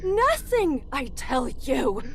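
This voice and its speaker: A woman plays a hard, impatient female criminal in a radio horror play. Her voice is extremely high and melodramatic, with slow, deliberate delivery and big swings in pitch. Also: very animated, fairly bright tone, full character voice. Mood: exasperated. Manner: melodramatic, commanding. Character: hard, impatient, greedy female accomplice in crime. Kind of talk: 1940s radio horror acting.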